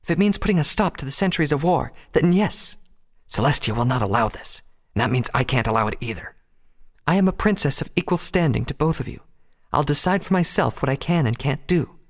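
A man voicing a gentle but resolute young pony princess in a fantasy story, earnest and formal. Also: fairly high pitch, expressive, a little fast, pitched above the performer's own voice. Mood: determined.

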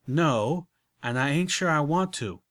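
A man's voice: on the high side, expressive, unhurried, a bit bright, pitched above the performer's own voice. Applejack, a man doing a drawling country mare. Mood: alarmed. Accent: Southern US rural (put on). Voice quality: drawling, exasperated. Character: gruff country farm mare, blunt and flustered.

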